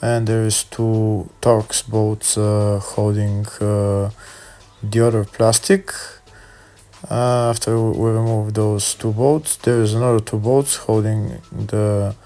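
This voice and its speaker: A man's voice: fairly low pitch, level tone, slow pace, dark tone. A man giving step-by-step how-to narration in a plain, hesitant instructional manner. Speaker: car repair video host.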